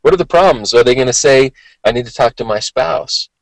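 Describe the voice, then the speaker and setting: A man's voice: mid-range voice, brisk, vocal fry, no echo, rough recording. Man giving sales training, voice worn. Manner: conversational, emphatic, repeats himself, checks in often. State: voice worn from talking all day, occasional cough. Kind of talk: motivational training talk.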